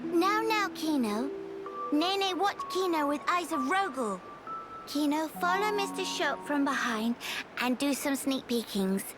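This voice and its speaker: A small, eager furry creature from a fantasy game. The voice is extremely high and animated, childlike and excitable, with quirky broken phrasing and loud music behind. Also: female voice, unhurried. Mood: flustered. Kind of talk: video game cutscene acting.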